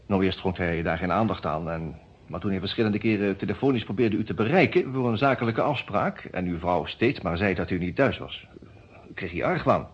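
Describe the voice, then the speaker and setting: An actor in a Dutch radio detective drama playing a composed, businesslike defence lawyer with a measured, formal manner. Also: male voice, low-pitched, husky. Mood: businesslike, reassuring. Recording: muffled old radio play recording.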